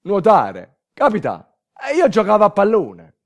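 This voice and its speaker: A man acts out a trapped young male footballer in a stage monologue, breathless and polite. The voice is raised high and loud, with a southern Italian accent and simple, naive manner. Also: huge pitch swings, unhurried, exaggerated voice. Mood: gasping, puzzled.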